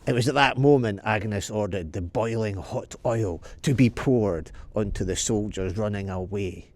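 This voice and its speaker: Slow-paced storyteller man. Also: fairly low pitch. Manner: explains as he tells, asks and answers questions. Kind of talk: storytelling for children.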